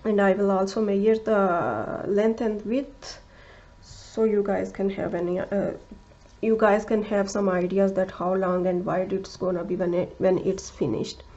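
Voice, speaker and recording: A woman who speaks English as a second language gives a crochet tutorial, talking slowly and carefully, with many fillers and restarts, and counting stitches aloud. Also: medium pitch, steady tone, warm tone, highs cut off. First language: not English. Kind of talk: step-by-step craft tutorial.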